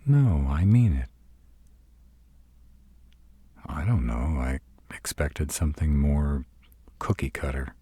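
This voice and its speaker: A man playing a sarcastic, brooding vampire with a soft spot, dry and grudging, in a roleplay audio drama. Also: very deep voice, expressive, a little slow, breathy edge, dry recording, heavily acted. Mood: grudging, sardonic.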